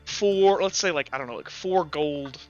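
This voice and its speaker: A man running a tabletop game as game master, talking casually and haltingly as he thinks out loud. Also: on the high side. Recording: slightly dull, music behind. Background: background music.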